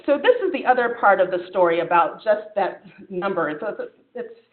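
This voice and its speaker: A woman's voice: medium pitch, lively intonation, fairly quick. A woman physician giving a conference talk and answering questions, in a conversational way with frequent fillers and restarts. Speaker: physician presenter. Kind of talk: conference presentation with Q&A. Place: conference room. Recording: phone-like echoey room sound.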